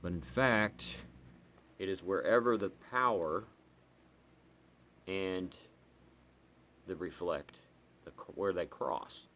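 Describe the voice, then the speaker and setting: A man's voice: sits low, slow pace, long pauses, a bit bright. A man giving a casual, unscripted hobbyist product demonstration, talking in a hesitant, rambling way. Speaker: hobbyist video host reviewing radios. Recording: clear background noise.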